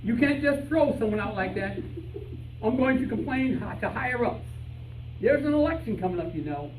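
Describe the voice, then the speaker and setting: A man playing an excitable, argumentative, stubborn detective in an old-time radio style stage play. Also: extremely high pitch, normal speed. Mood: indignant. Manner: indignant, argumentative. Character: excitable stubborn male detective searching for his lost canary. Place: live rehearsal before an audience. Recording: echoey room, distant mic, faint noise.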